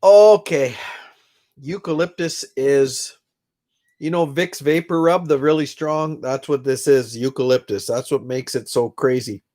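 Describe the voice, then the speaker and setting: A middle-aged man with a stuffed-up, congested voice talks loosely to his live-stream viewers from his room. He rambles from one thought to the next and greets people by name as he goes. His pitch sits in an ordinary middle range. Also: a little slow, darkish tone. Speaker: livestream host. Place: his own room at home.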